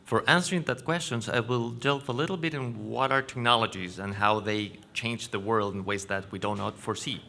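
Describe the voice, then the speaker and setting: A man with a Chilean Spanish accent giving a conference presentation, explaining in a halting way and correcting himself. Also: lowish voice, creaky, pushed and bright, slight background noise. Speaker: panel speaker. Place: conference venue stage.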